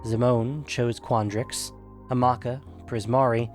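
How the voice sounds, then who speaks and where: A man's voice: medium pitch, steady tone, unhurried, faint music behind. A man hosting and narrating a scripted fantasy audio story. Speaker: narrator and podcast host.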